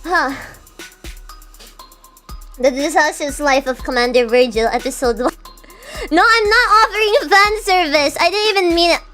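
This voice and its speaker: A woman's voice: sits high, moderate pace, long pauses, pushed and bright. A young woman streamer chatting casually with her viewers, answering chat with a chatty, self-mocking manner. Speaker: VTuber streamer. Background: faint background music.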